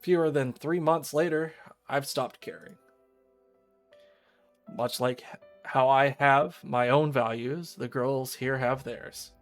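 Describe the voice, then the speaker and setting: An aloof teenage schoolgirl read by a man in his mid-pitched voice narrates a visual novel over faint music. The delivery is measured and resigned, that of a fatalistic outsider telling a story. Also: close to an everyday voice.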